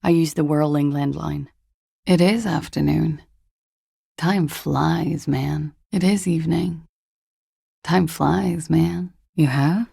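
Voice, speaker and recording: An actress playing a jaded, hard-partying young disco dancer in a video game, husky and languid, with a guarded, deadpan delivery. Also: female voice, low voice, slow pace, no room sound, clean studio sound, heavily acted. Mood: wry, drowsy. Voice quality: husky, gravelly with vocal fry. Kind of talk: video game voice acting, intimate dialogue.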